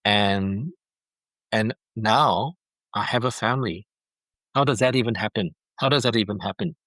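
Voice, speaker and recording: A man's voice: lowish voice, normal speed, fairly bright tone, no echo. A middle-aged man from Singapore, a foster dad talking earnestly on a call and often repeating words as he thinks. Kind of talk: heartfelt interview over a call. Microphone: video call.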